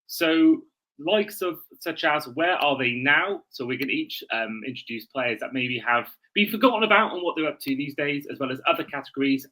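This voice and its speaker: Bright-voiced man co-hosting a sports podcast. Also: on the high side, expressive, normal speed, poor audio. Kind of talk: casual podcast chat.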